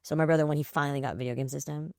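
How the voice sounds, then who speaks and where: A woman's voice: deep voice, steady tone, rapid-fire, warm tone, no room sound, clean studio sound. A woman in her forties with an American accent, chatting casually as a podcast co-host, blunt and emphatic. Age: 40s. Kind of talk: casual chat.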